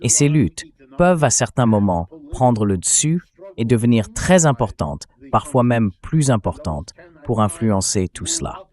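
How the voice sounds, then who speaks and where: A synthetic male voice delivering translated interview commentary in a measured, explanatory way, as a political commentator. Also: lowish voice, big pitch swings, a little mellow. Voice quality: synthetic text-to-speech voice.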